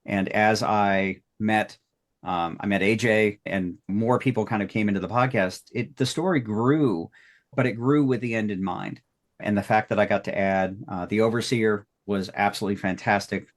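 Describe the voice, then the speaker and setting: A man's voice: on the low side, steady tone, moderate pace. A man talking casually and reflectively as a podcast creator looking back, rambling and appreciative of his colleagues. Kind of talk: casual retrospective talk.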